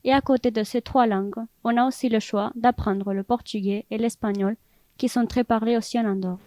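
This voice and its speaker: A teenage girl reads from a prepared text. Her voice is soft, mellow and slightly high. The recording is dry. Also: steady tone, a little fast, no room sound.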